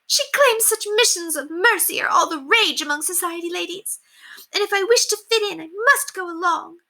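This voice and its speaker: A woman's voice: very high voice, normal speed, sharp tone, voice pushed up, heavily acted. A woman voicing a haughty, melodramatic upper-class lady from a storybook, theatrical and gushing. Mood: distraught, self-pitying. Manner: theatrical, gushing, indignant exclamations. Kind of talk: storybook character voice read aloud.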